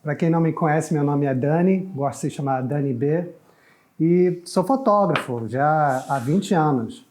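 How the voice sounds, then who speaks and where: Middle-aged male guest from Canada, native English speaker, warm relaxed timbre, fairly high pitch, conversational. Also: normal speed, slight background noise. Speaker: photographer guest. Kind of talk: casual interview chat.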